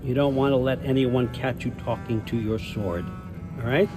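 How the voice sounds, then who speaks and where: An older god ruler from a video game, acted by a man, with a middling pitch. He is conversational and wry, needling people with a folksy, manipulative friendliness. Prominent music plays behind him. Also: fairly even intonation, moderate pace, lightly acted. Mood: needling, casual. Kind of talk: naturalistic video game acting.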